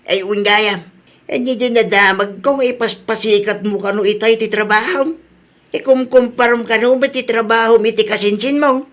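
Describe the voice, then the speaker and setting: A man's voice: sits high, steady tone, moderate pace, fairly bright tone. A man playing a grumbling, blunt family father in a radio drama, with an Ilocano accent. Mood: irked.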